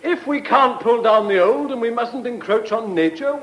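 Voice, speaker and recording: A man's voice: extremely high pitch, moderate pace, very noisy. A man playing a prim, pompous, easily flustered government official with an English accent in a radio drama. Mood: officious. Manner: formal, pompous, protesting. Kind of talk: British radio drama acting. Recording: roomy, echoing radio drama studio.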